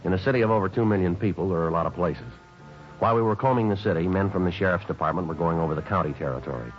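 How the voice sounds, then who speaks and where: A man's voice: low voice, very fast, faint music behind, lightly acted. An American man acting a stoic police detective in a 1950s radio drama, speaking and narrating in a terse, matter-of-fact way. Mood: flat, factual. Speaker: narrator and lead actor. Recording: dull vintage 1950s radio recording.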